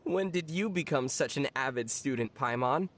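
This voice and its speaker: A woman voicing a teasing, deadpan young traveler in a video game, with a dry manner. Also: very deep voice, normal speed. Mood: wry. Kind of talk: video game character dialogue.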